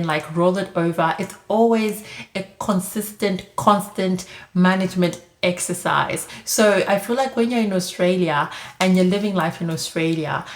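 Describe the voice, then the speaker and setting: A woman, giving chatty advice in a casual monologue, full of "like" and "you know". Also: lowish voice. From Zimbabwe. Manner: chatty, frequent "like" and "you know", light laughs. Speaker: vlogger giving immigrant advice. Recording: echoey room.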